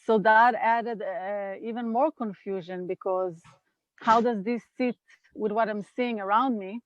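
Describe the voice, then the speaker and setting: A woman's voice: medium pitch, fairly even intonation, no echo, lo-fi sound. A woman in her fifties with an Israeli accent, a guest speaking thoughtfully and unhurriedly about her path in an interview over a video call. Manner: thoughtful, unhurried, with pauses and fillers. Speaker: guest, NVC trainer. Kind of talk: reflective interview talk. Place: home in California.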